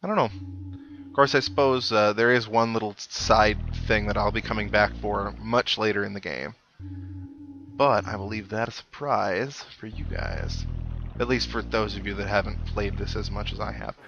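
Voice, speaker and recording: A man's voice: lowish voice, lively intonation, normal speed, gravelly, a bit bright, lo-fi sound, a little hiss or hum. A man giving casual, chatty video game commentary with wry asides, as the host of a let's play. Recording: game music playing behind the voice. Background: video game music.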